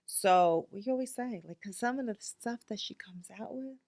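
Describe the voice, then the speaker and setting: A woman, co-hosting a podcast in a frank, unfiltered way. She talks at a middling pitch and leans on fillers as she thinks aloud. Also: moderate pace, a little mellow. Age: 50s. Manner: candid, blunt, many filler likes. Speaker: podcast co-host. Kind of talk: casual chat.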